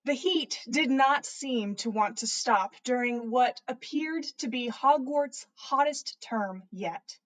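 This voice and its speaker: A woman's voice: on the high side, slow, hardly any pauses, rough recording. A woman hosting a story livestream, reading narration aloud in an informal way with casual asides. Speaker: podcast host reading stories.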